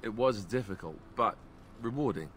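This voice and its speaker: An actor playing a self-deprecating young ex-Templar warrior in a video game, with dry, joking delivery. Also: male voice, on the low side, expressive, moderate pace, fairly bright tone, a little hiss or hum. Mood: candid, reflective. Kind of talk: scripted video game dialogue.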